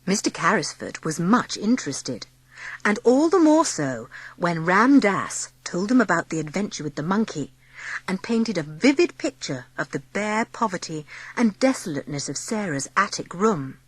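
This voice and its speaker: Casting: female audiobook narrator, lowish husky voice, very expressive storybook reading, dry close sound. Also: moderate pace, dry recording, rough recording.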